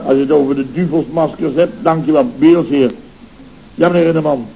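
An actor playing a pompous, easily indignant boss of a building firm in a radio comedy serial. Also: male voice, on the high side, steady tone, a little fast, vocal fry, dark tone. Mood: flustered, indignant. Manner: pompous, repeating, interjecting. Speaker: company boss. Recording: dull, noisy old radio recording.

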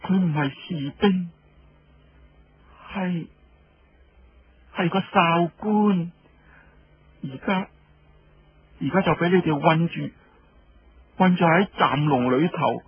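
A man's voice: a little high, highs cut off. A man voicing a distraught, stubbornly loyal young wife who pleads in Cantonese-accented radio storytelling. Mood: pleading. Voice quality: husky. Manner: slow, plaintive, pleading.

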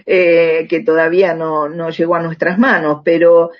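A woman's voice: sits low, little pitch movement, normal speed. A middle-aged Argentine woman talking conversationally as an interview guest, often checking in with little tag questions. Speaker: radio drama writer, interview guest. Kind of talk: conversational interview, telling anecdotes. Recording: slightly dull lo-fi sound.

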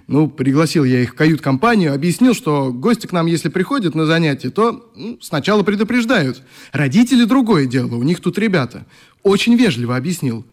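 An easygoing leader of a youth club in a radio drama. His voice is high for a man and very lively, swinging through teasing, fond storytelling. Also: a little fast. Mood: amused, teasing. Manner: animated, teasing, mimics people he quotes. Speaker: actor in a radio play.

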